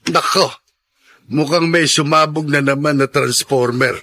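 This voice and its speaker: A man's voice: a little high, fairly even intonation, unhurried, pushed and bright, full character voice. A man playing a kindly, avuncular neighbour in a radio drama. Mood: easygoing, concerned. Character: kindly older neighbour man giving youngster advice.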